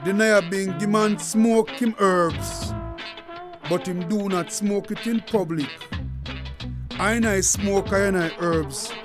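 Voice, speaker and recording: A man with a high-pitched voice gives a solemn recital of rules in Rasta speech. Guitar music runs clearly underneath. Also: slow.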